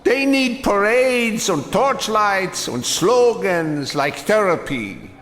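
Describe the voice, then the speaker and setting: An actor playing a weary, anguished older German immigrant in a radio drama, speaking with bitter, emphatic rhetoric. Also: male voice, high voice, animated, fairly bright tone, exaggerated voice. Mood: scornful, defiant. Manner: slow, emphatic, bitterly rhetorical with repeated phrases. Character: weary old German immigrant man, anguished, defiant, scornful of fascists. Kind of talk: theatrical radio drama acting.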